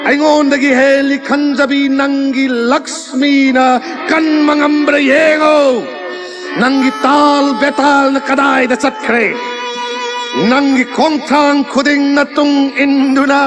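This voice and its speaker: A vengeful god of myth, declaims curses in a very high, booming voice. The delivery is theatrical and stretched out, as in an audio drama, with clear music behind it. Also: male voice, little pitch movement, very fast. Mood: wrathful, menacing. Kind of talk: Manipuri audio mythological drama acting. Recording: studio radio drama with loud music.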